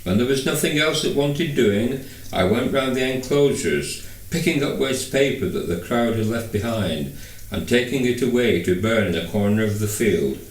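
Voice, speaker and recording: A man's voice: mid-range voice, lively intonation, moderate pace. A man reading a first-person novel aloud as scripted narration. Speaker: audiobook reader. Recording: echoey room, distant microphone.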